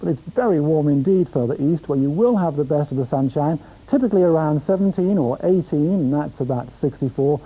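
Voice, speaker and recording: A man's voice: a little high, a little fast, few pauses, dark tone, rough recording. A British man presenting a television weather forecast in a conversational style.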